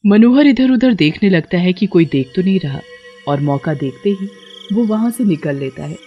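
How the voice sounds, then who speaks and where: A man's voice: high voice, expressive, normal speed, muffled recording. A man narrating a scripted story as a storyteller. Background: music.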